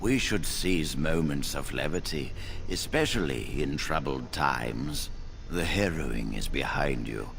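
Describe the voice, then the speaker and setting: An actor playing an elderly, fatherly head mage in a fantasy game, formal, measured and wry, with a gravelly old voice. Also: rumbling low, expressive, a bit bright, slight background noise, full character voice. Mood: gently reassuring. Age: older. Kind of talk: fantasy video game voice acting.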